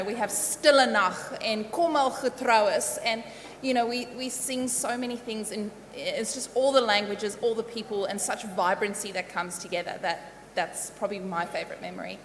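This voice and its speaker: A woman's voice: mid-range voice, normal speed, a bit bright. South african woman sharing xmas memories, jokey, echoey with faint hum behind. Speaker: guest. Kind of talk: casual storytelling.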